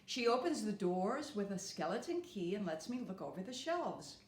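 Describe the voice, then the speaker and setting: A woman reading a children's storybook aloud as a storyteller, with deliberate, expressive phrasing. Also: mid-range voice. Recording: roomy, echoing room.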